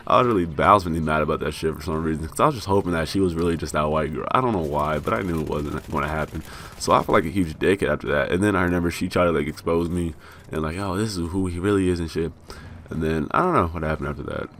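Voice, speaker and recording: A young American man telling a rambling, profane story about himself with self-mocking laughs, his voice a bit raspy from being high. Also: fast talker, a bit bright, slight background noise. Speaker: vlogger. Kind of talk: casual storytelling.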